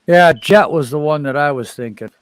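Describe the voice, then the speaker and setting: A man hosting a casual sports livestream, cracking quick teasing jokes with his co-hosts. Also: on the high side, clear, resonant tone, no room sound, clean studio sound. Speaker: stream host. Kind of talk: casual sports stream chat.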